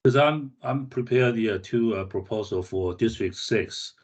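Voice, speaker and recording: A man's voice: fairly low pitch, measured pace, darkish tone, highs cut off. A man talking informally in a work meeting, hesitant and repeating words. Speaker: meeting participant.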